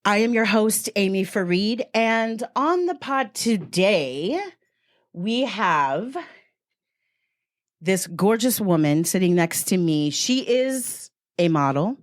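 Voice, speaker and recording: A woman hosting a podcast in a playful, joking, animated manner, introducing and chatting with her guest. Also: medium pitch, slow. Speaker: podcast host. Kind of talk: casual podcast chat. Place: podcast studio, seated beside the guest.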